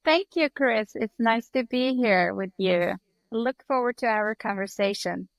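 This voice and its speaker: A woman's voice: on the high side, measured pace, vocal fry, dry recording. A woman in her forties from Iran, speaking as a podcast guest in a thoughtful, explanatory, reflective way. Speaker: podcast guest, leadership consultant. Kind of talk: reflective interview talk.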